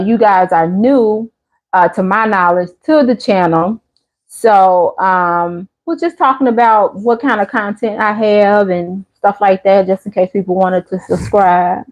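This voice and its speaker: A woman's voice: on the low side, rough recording. Laid-back chatty woman in her fifties. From Chicago area. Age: 50s. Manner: laid-back, chatty, answers viewers' comments. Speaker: channel host. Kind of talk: casual live-stream chat.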